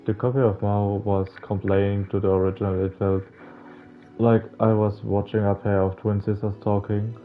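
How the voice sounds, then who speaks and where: A young man with a lowish, mellow voice reads a visual novel aloud for a playthrough. His delivery is very flat and casual, with stumbles along the way. Faint music plays behind him. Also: phone-quality sound. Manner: halting, stumbling reading with casual slangy interjections. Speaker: gaming streamer. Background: faint game music.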